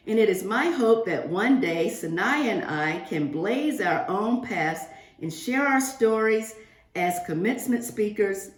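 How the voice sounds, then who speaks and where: A woman reading a picture book aloud to young children, expressive and addressing them directly. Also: mid-range voice. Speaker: guest picture-book reader for a school class. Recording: roomy, echoing virtual-reading audio.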